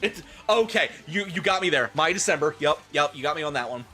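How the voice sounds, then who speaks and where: A man hosts a livestream with crude, theatrical banter. His voice is bright and forceful, swinging widely in pitch, over a bed of music. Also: lively intonation, brisk. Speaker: livestream host. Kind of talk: livestream banter and dramatic reading of fan fiction.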